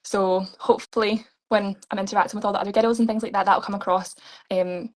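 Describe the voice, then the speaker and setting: Upbeat young Scottish woman, flat pitch. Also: fast. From Scotland. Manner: earnest, upbeat, laughs often. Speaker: pageant contestant. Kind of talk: live online interview.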